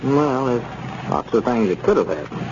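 A man playing a sensible, suspicious small-town friend in a 1930s radio serial, with a folksy, measured way of reasoning. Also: medium pitch, very animated, close to an everyday voice. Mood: worried, suspicious. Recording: muffled, noisy 1930s radio recording.

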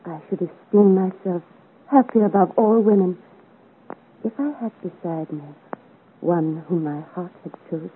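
A woman's voice: on the low side, moderate pace, phone-quality sound, close to an everyday voice. An actress playing a proud, devoted young noblewoman in a 1950s radio melodrama, speaking earnestly in formal, theatrical period style. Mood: wistful. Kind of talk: 1950 radio serial romantic melodrama acting. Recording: thin, noisy old 1950s radio recording.

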